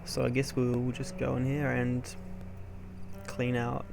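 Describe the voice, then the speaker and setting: A young man chatting casually over gameplay in an unhurried, hesitant, low-key way. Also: completely flat delivery, gravelly, very noisy. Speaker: let's play host. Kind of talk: casual gameplay commentary, rambling personal chat. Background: video game music.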